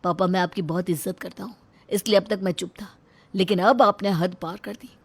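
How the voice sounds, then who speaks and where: A woman's voice: medium pitch, steady tone, a little fast, breathy edge, no room sound. A woman voicing an earnest, respectful grown son in a moral story. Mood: firm, upset. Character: meek, respectful grown son finding his courage. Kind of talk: acted dialogue in a moral story.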